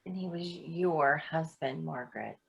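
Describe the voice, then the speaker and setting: A woman acting in a staged play reading as a confrontational, sarcastic woman who defends her friend with pointed, deliberate words. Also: deep voice, vocal fry, low-quality recording. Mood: cool, probing.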